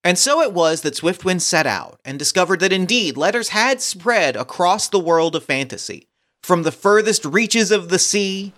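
A performer playing a grand storyteller, narrating a fantasy audio drama in a formal, measured way. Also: medium pitch, expressive, a bit bright, close to an everyday voice.

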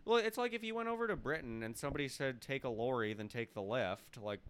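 A young man trading casual banter on a podcast. His pitch rises and falls a lot as he talks, and he breaks into laughs easily. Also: expressive, quick pace, a bit bright, slight background noise. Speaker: podcast co-host. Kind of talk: casual chat.

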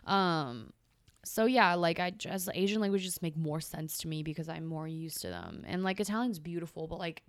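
A woman's voice: on the low side, expressive, moderate pace, no room sound, clean studio sound. A woman in her twenties whose mother tongue is Chinese, chatting loosely as a podcast guest with frequent swearing and rambling asides. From grew up with Chinese. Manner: rambling, profane, laughing asides. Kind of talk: casual conversation.

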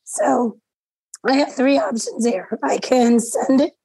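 A hoarse woman teaching a class over a video call, explaining each step aloud and often clearing her throat. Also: high voice, takes it slowly, mellow, no echo, low-quality recording. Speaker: instructor.

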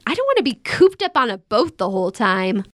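An actress playing an outspoken, wisecracking young American elder sister in a naturalistic modern audio drama, with blunt, casual banter. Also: female voice, fairly high pitch, wildly swooping pitch, brisk, a bit bright, dry recording. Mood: eager, exasperated. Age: young adult.

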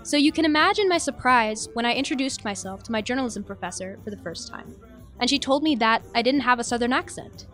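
A woman's voice: fairly high pitch, animated, fairly quick, a bit bright, faint music behind. A young American woman from Tennessee reading a scripted personal audio essay as its narrator. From Nashville, Tennessee, USA. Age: young adult. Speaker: narrator, journalism student.

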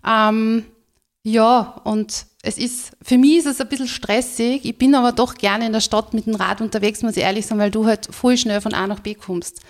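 A woman's voice: fairly high pitch, steady tone, moderate pace. A woman from rural Styria with an Austrian German accent, a guest who talks candidly and chattily, with many fillers. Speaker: guest, business co-founder. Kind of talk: casual interview talk.

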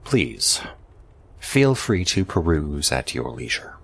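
An actor playing a cold, controlling man who speaks formally and deliberately, with menacing politeness, in a fan-dub audio drama. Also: rumbling low, sing-song, gravelly, fairly bright tone, husky, heavily acted. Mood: detached, formal. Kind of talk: scripted dramatic dialogue and narration.

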